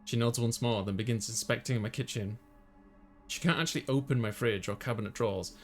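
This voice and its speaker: A man's voice: mid-range voice, brisk, faint music behind. A man hosting a gaming video, reading visual novel text aloud and adding casual asides.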